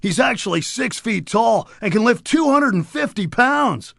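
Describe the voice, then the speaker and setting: An actor playing a laid-back, boastful surfer dude creature in a cartoon game, talking in upbeat beach slang. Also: male voice, very high voice, animated, normal speed, gravelly, a bit bright, no echo, clean studio sound, heavily acted. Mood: casual, conspiratorial. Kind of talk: animated game character dialogue.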